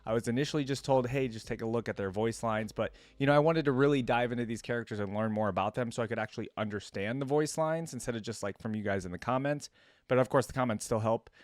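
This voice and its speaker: A man's voice: medium pitch, fast, dry recording. A man giving casual, off-the-cuff reaction commentary as the host of a video. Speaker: YouTube reactor hosting a video. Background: game voice lines and music.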